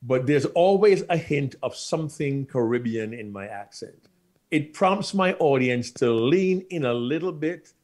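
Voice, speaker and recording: Middle-aged Jamaican-accented man, husky and emphatic, speaking by video call. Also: medium pitch, lively intonation, measured pace, a little mellow. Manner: emphatic, repeats key lines. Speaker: guest speaker. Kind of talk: animated panel answer. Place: studio with plain backdrop. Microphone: video call webcam.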